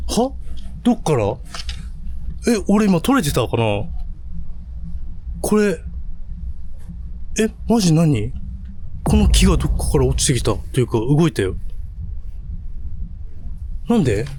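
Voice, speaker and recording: A man's voice: a little high, sing-song, a little slow, long pauses, warm tone. A frightened, jumpy man talking casually while investigating a haunted spot outdoors at night, often startled and repeating his questions. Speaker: paranormal video host. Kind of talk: casual reactive talk on a ghost-spot investigation. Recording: noisy location sound.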